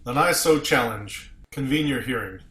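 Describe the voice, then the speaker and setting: A man's voice: mid-range voice, normal speed, pushed and bright, lightly acted. A man playing a stern, principled starship captain in a table read, formal and commanding, recorded in an echoey room. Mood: challenging. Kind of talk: amateur table read of a sci-fi script.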